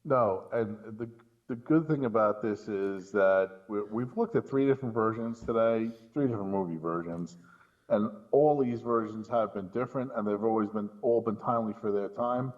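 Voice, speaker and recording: A man's voice: lowish voice, normal speed, a little mellow, lo-fi sound. A man talking casually about films as a podcast guest, in an incredulous, emphatic way, often repeating words for emphasis. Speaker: podcast guest and film commentator. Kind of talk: casual film discussion.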